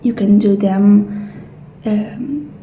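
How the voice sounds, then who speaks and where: A woman doing a soft, partly whispered ASMR roleplay as a gentle attendant walking a client through options. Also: mid-range voice, extremely slow. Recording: roomy echo, faint background noise.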